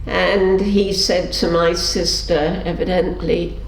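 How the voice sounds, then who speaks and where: An older woman recounts her memories at an unhurried pace, her voice sitting a little low. She brings her story's characters to life as she quotes them. The sound is roomy, with a faint hiss behind it. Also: little pitch movement. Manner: storytelling, acts out quotes with pitch shifts. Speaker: interviewee. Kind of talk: oral history interview.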